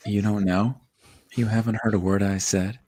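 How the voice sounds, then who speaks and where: A man's voice: lowish voice, fairly even intonation, moderate pace, darkish tone, natural voice. A man playing a cocky, defensive washed-up smuggler in a comedic script read. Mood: wry, indignant. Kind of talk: comedic live table read of a film script.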